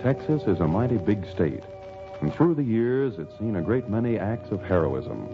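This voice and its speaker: Animated, low-pitched American radio narrator. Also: male voice, normal speed. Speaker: announcer and narrator. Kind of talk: scripted 1940s radio narration. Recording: dull old radio recording, music behind.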